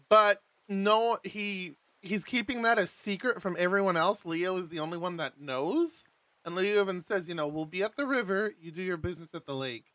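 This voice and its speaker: A man's voice: high voice, bright, forceful tone, no echo. A man hosting a live stream, chatting casually with friends and reading a visual novel's text aloud with rambling asides. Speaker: streamer host.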